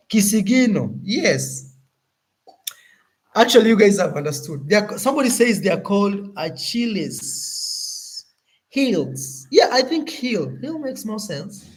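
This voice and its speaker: A young Kenyan man with a Kenyan accent, talking casually to his viewers as a YouTuber, animated and often searching for words. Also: high-pitched, low-quality recording. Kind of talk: casual vlog talk to camera.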